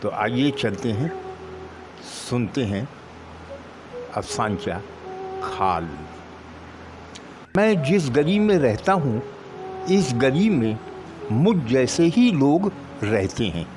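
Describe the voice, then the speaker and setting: A middle-aged man narrating a short story in a measured, deliberate way, pausing between short phrases. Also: medium pitch, very animated. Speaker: storyteller reading own short stories. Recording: music behind the voice. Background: music.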